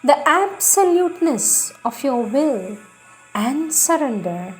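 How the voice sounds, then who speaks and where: A woman reading spiritual texts aloud in a solemn, measured, deliberate devotional manner. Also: high-pitched, very animated, faint music behind, low-quality recording.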